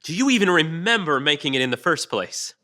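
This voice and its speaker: An actor playing a wry, needling interrogator in an audio drama, dry, taunting and colloquial in manner. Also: male voice, on the high side, very animated, brisk, pushed and bright. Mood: pressing, scornful. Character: wry, needling male interrogator, mock-friendly. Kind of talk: audio drama table read.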